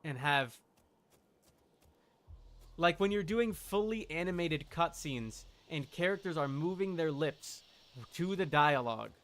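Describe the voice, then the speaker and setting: A man with a slightly high, bright and forceful voice talks as a game streamer. He chats casually while playing, arguing his opinions with emphasis. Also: expressive, measured pace, pauses often.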